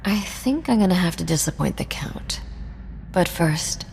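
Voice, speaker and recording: Sly low-voiced roguish princess, reverberant. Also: female voice, animated, measured pace, gravelly, a little mellow, slight background noise. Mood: teasing, flirtatious. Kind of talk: video game cutscene acting. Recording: hall reverb, game music underneath.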